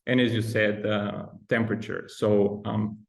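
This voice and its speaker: A man with a Bulgarian accent talks as a podcast guest in a fairly low voice. He explains things carefully, pausing on ums and restarting his sentences. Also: little pitch movement, creaky. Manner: hesitant, many ums and restarts. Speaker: guest researcher. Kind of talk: podcast interview.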